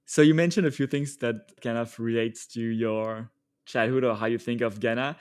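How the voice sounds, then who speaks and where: A man's voice: medium pitch, steady tone, moderate pace, smooth. A man hosting a podcast, delivering scripted introductions and interview questions. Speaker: podcast host.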